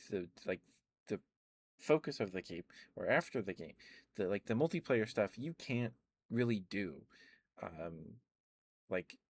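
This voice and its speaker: A man speaking softly in a chatty ASMR ramble, close to the microphone, meandering with soft chuckles. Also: deep voice. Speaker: ASMR streamer.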